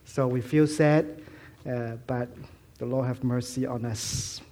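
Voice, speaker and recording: A middle-aged man from Malaysia preaching a sermon in English, with a mixed Malaysian, Hong Kong and Fuzhou accent, halting with many fillers and restarts and self-deprecating humor. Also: mid-range voice, fairly even intonation, dark tone. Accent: Malaysian Chinese English, mostly Fuzhounese-tinged.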